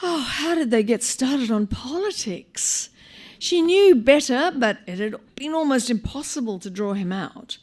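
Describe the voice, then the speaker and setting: An Australian woman reading a short story aloud live, in an expressive, wry manner with dramatic pauses. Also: sits high. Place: live on stage at a small venue.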